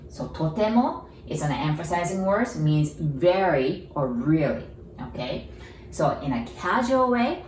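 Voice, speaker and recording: A woman's voice: low voice, darkish tone, a little hiss or hum. A woman with a Japanese accent, a native Japanese speaker, teaching an online lesson, patiently repeating words and asking learners questions. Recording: echoey room, mic at a distance.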